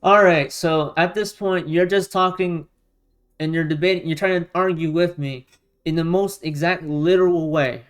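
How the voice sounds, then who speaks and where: A man with a fairly high, clean, smooth voice gives combative online commentary. He is a non-native speaker with a Romanian or Russian first language. He sounds exasperated and presses his points with emphatic rhetorical questions. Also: steady tone, normal speed. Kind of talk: argumentative response commentary.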